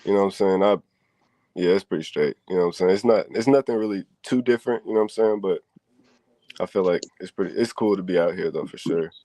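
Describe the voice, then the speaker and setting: A man's voice: low-pitched, fast, mellow, no echo, low-quality recording. A young man, a wrestler guest on an interview, talking in laid-back slang and saying "you know what I'm saying" constantly. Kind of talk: casual interview chat.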